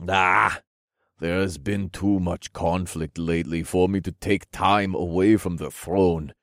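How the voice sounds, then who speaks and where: A man voicing a regal, genial fantasy king with formal, measured phrasing in an audiobook. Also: sits low, fairly even intonation, no room sound, clean studio sound. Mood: weary, thoughtful.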